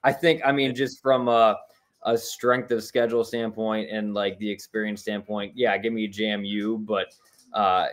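A man's voice: on the low side, flat delivery, moderate pace. A young man co-hosting a sports podcast over a video call, easygoing and hedging, laughing at himself as he gives his take. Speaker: podcast co-host. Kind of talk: casual sports chat.

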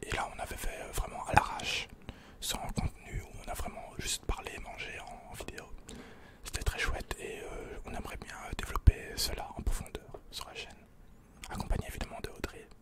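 Whispering young man. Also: brisk. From Belgium. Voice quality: breathy whisper. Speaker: ASMR YouTuber answering questions. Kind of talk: whispered ASMR Q&A. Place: small attic room indoors. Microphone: desk condenser microphone, close.

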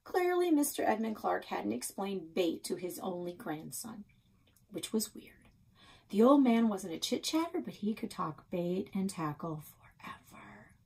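A middle-aged woman reads a children's story aloud. Her voice is mid-pitched and husky, picked up at about arm's length in a small office. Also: very animated, a little slow, a little mellow. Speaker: reader of a children's novel.

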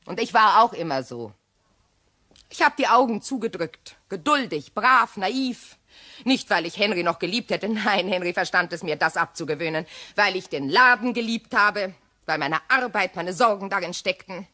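A woman's voice: mid-range voice, expressive, sharp tone. A woman playing a proud, bitter, middle-aged betrayed wife in a crime radio drama, theatrical and sarcastic. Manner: theatrical, sarcastic, deliberate repetition.

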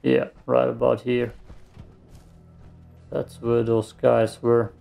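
A man's voice: medium pitch, little pitch movement, slow, creaky, warm tone, soft background music. A man giving casual gameplay commentary, muttering to himself and given to swearing. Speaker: let's play streamer.

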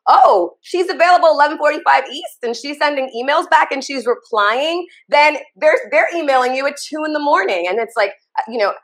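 A woman in her thirties from Trinidad, hosting an interview over a video call from a home office with lively, chatty enthusiasm. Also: high voice, fairly quick, few pauses. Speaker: interview host.

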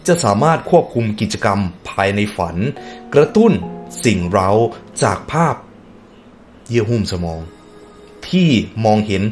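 A man's voice: lowish voice, expressive, a little slow, soft background music. A man hosting a reaction video, reading text aloud and breaking off into casual musing remarks and hums.

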